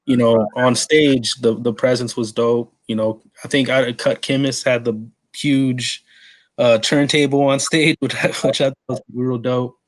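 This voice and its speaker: A man's voice: mid-range voice, fairly even intonation, a little slow. A man in his forties from Texas hosting a podcast, asking questions in an eager, slangy, friendly way. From San Antonio, Texas. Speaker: podcast host. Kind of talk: casual interview questions.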